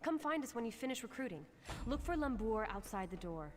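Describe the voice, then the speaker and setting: A woman's voice: a little high, sing-song, fairly quick, soft background music. A woman voicing an eager, businesslike young heist crew member in a video game. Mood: brisk. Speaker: game character.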